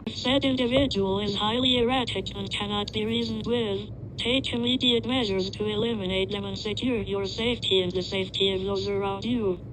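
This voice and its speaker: A woman playing an impassive emergency broadcast announcer, reading grim survival instructions in a formal, measured, detached way for an analog horror piece. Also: medium pitch, background noise, lightly acted.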